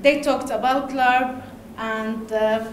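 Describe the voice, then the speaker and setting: A woman from Lebanon with an Arabic accent, giving a conference talk in halting English with hesitations and laughs. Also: fairly high pitch, steady tone, slight background noise. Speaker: conference speaker. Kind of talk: presentation talk. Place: large darkened conference hall. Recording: echoey hall, faint ambience.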